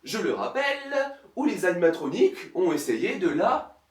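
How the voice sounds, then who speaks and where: A man's voice: high-pitched, big pitch swings, moderate pace. A man narrating a sarcastic parody film review in a mocking, theatrical manner. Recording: roomy, distant mic, faint noise.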